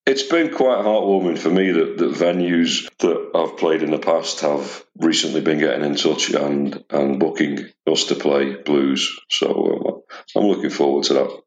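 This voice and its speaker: A man in his forties with a deep, slightly gravelly voice, answering interview questions in a modest, reflective way. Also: animated, a little slow, roomy sound, lo-fi sound. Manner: modest, reflective, with many uh and restarts. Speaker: blues musician guest.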